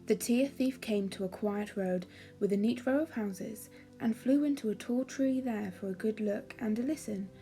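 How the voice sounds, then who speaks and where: Bright-free, mellow woman reading a children's story. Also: mid-range voice, normal speed, soft background music.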